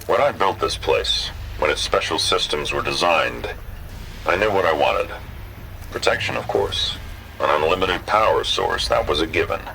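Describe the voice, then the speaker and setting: A man playing a powerful, controlling tycoon, gravelly and imperious, delivering a deliberate dramatic monologue. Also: deep voice, animated, sharp tone, slight background noise, exaggerated voice, rough recording. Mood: cold, commanding. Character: powerful controlling tycoon, cold and self-assured.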